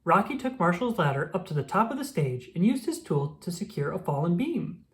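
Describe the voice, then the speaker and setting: A woman reading a children's picture book aloud in an expressive storytelling manner. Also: on the low side, fairly quick. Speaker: storybook reader.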